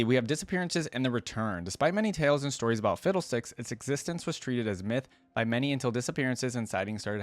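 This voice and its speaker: A man with a mid-pitched voice hosts a casual reaction video. He talks conversationally, thinking aloud as he goes between reading and commenting. The sound is close and dry, with very little room in it. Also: no room sound. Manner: conversational, thinks aloud, asks viewers questions.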